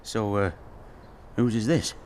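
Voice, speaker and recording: An actor playing a warm, nostalgic older British man telling stories in a chatty, wistful way in a naturalistic radio drama. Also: lowish voice, takes it slowly, a little hiss or hum. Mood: friendly, awkward. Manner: chatty, chuckling, wistful reminiscing.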